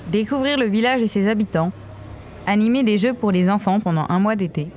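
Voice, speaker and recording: A woman giving calm, scripted documentary narration as a voice-over. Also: medium pitch, brisk, slight background noise.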